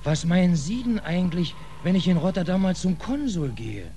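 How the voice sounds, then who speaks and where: A cheeky, naive drifter in an old radio play. His mid-pitched voice is very animated, grumbling and questioning in colloquial speech. Clear noise runs through the vintage recording. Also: normal speed. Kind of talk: 1940s German radio drama acting.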